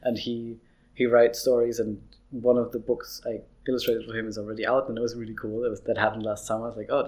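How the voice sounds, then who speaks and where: A man's voice: mid-range voice, gravelly. Rambling, easygoing man. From Austria. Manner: rambling, restarts often, laughs easily. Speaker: illustrator, interview guest. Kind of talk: casual interview chat.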